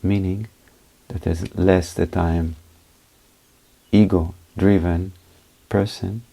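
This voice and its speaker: A man leading a guided meditation as a teacher, speaking in short, unhurried phrases with pauses between them. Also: deep voice, steady tone, vocal fry, dark tone, low-quality recording.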